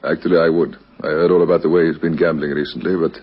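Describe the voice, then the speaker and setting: An actor playing a brisk, persistent police captain in a radio crime drama, questioning formally. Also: male voice, a bass voice, level tone, breathy edge. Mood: pressing, suspicious. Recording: dull vintage radio recording.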